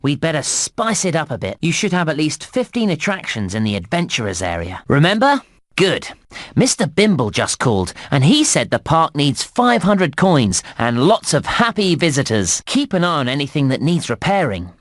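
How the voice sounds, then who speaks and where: A man's voice: fairly high pitch, big pitch swings, moderate pace, no echo, clean studio sound. A man voicing an upbeat, encouraging theme park guide in a children's game, with bouncy, exclamatory scripted delivery. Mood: eager, instructive.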